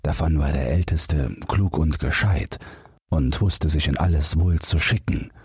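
A man's voice: a bass voice, a little slow, a little mellow, breathy edge, dry recording, clean studio sound. A man reading a scripted fairy-tale audiobook narration for bedtime. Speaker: audiobook reader.

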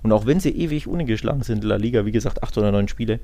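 A man's voice: lowish voice, very fast, dark tone, dry recording. A man co-hosting a football podcast in casual discussion, talking colloquially and emphatically and often rephrasing himself.

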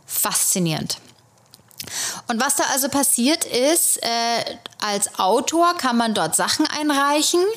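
A woman from Germany, an actress and podcast host, telling stories in a chatty, rambling way with many hesitations. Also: mid-range voice. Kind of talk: casual solo podcast storytelling.

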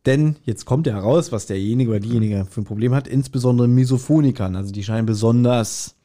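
Male podcast co-host, German accent, middle pitch, casual banter with a teasing, mock-scolding edge. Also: quick pace, dark tone.

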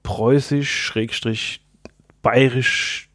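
A man's voice: mid-range voice, fairly even intonation, a bit bright, no echo, clean studio sound. Hesitant man co-hosting a podcast. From Palatinate, Germany. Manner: short, hesitant answers with pauses. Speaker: podcast co-host. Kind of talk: casual podcast chat.

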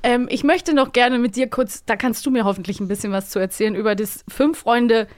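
A woman's voice: fairly high pitch, brisk, dry recording. Woman in her thirties, dry close sound. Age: early 30s. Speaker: co-host of an audio drama magazine show. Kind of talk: casual chat, reviewing audio plays.

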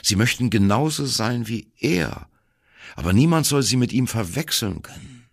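A condescending male psychologist in a radio play. He lectures in a measured, patronising manner, with a husky, gravelly voice and a widely moving melody. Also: mid-range voice, animated, dry recording, clean studio sound. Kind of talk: radio play, quoted character in monologue.

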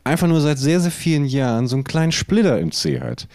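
A man's voice: fairly high pitch, quick pace, darkish tone, clear, resonant tone. A man co-hosting a podcast in casual comedic chat, telling things in a rambling, playful and theatrical way. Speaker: podcast co-host.

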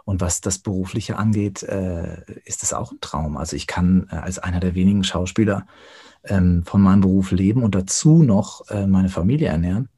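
A man speaking reflectively as an interview guest, thoughtful and often pausing to search for words. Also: sits low, dark tone, slightly rough. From Germany.

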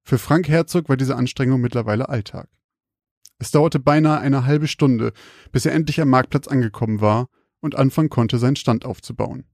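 A man with a slightly creaky voice, hosting and telling stories on a podcast. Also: medium pitch, normal speed, darkish tone, no room sound, clean studio sound. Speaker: podcast host and storyteller. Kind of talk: casual banter and scripted horror storytelling.

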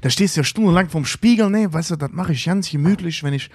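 A man's voice: on the high side, quick pace, husky, dry recording, clean studio sound. A man co-hosting a podcast, chatting casually and often adding "you know" to his sentences. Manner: chatty, fillers like weißt du. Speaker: podcast co-host.

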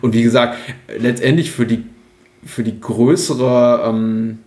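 A man's voice: mid-range voice, level tone, unhurried, darkish tone, reverberant. A man co-hosting a podcast, chatting casually and hesitantly with many fillers and restarts. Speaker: podcast co-host. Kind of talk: casual rewatch podcast chat.

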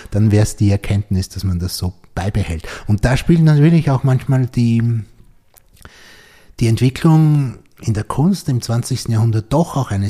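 A man's voice: fairly low pitch, dark tone, husky. A man hosting a podcast interview, reflective and thinking aloud as he talks. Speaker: podcast host. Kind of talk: conversational interview.

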